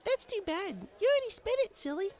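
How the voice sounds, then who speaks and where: A man's voice: extremely high pitch, big pitch swings, a little fast, voice pushed up. A man voicing a cheerful, cheeky young female pony who hustles in bars, playful and breezy, in an audiobook story. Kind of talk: fan fiction audiobook character voice.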